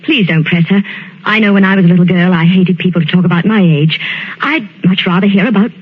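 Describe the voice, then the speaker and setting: A woman acting a gentle, anxious genteel lady in a soothing, earnest 1940s radio suspense style. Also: on the low side, quick pace, breathy edge. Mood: gentle, coaxing. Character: gentle anxious genteel lady friend, Miss Amanda, narrating her diary. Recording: tinny band-limited old radio, organ music behind.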